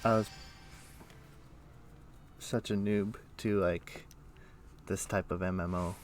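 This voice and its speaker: A man livestreaming a game, chatting casually and thinking aloud in a rambling, halting way. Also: deep voice, steady tone. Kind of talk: casual chat while playing an online game. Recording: music playing behind. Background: music.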